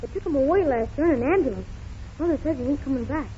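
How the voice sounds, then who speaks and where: High-voiced, plucky poor newsboy. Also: female voice, animated, fast, mellow, a little hiss or hum. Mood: artless, plucky. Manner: artless folksy street-kid speech. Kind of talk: 1930s radio drama acting. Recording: muffled 1930s radio recording.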